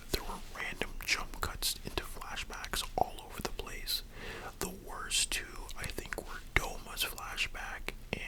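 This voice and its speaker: An ASMR host speaking in a breathy whisper, chatting casually and rambling as they address the listener directly. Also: rough recording. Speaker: host of an ASMR discussion channel. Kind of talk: whispered ASMR chat, casual movie discussion.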